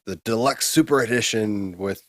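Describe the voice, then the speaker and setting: A man's voice: fairly low pitch, moderate pace, dry recording, studio quality. A man co-hosting a casual gaming news discussion, talking in a colloquial, opinionated way. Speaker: co-host of a gaming news show.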